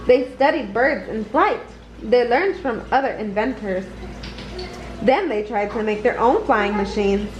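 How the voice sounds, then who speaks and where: A woman reading a children's picture book aloud in a deliberate, expressive storytelling manner. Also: a little high, lo-fi sound. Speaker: storybook reader.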